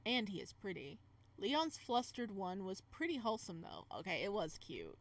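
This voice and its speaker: Woman, mid-pitched, game streamer, casual commentary and read-aloud narration, animated and giggly. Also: normal speed. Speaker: streamer playing a visual novel.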